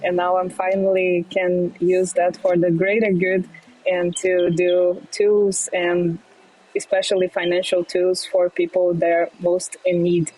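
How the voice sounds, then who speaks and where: A young Brazilian woman speaks accented English in a low, level voice, her tone dark and mellow. She pauses often on fillers and slips in small laughs as she explains her work. Portuguese is her first language. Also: completely flat delivery, rough recording. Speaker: fintech CEO guest. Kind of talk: podcast interview. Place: remote, not in studio.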